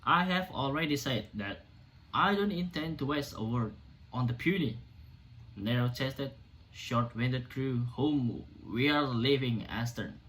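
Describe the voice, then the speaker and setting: A man playing a defiant, indignant doctor orating to a hostile crowd in an amateur dramatic reading of a stage play, emphatic and sometimes halting. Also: medium pitch, lively intonation, a bit bright, a little hiss or hum, low-quality recording. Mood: indignant, fervent. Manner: emphatic, declamatory, halting in places.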